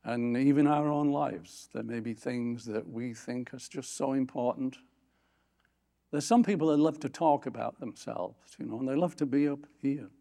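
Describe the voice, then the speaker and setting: A man preaching a sermon from a church platform, lifting his voice for scripture quotes and dropping low for quiet asides. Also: moderate pace, vocal fry. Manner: animated, rising pitch on scripture quotes. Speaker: pastor.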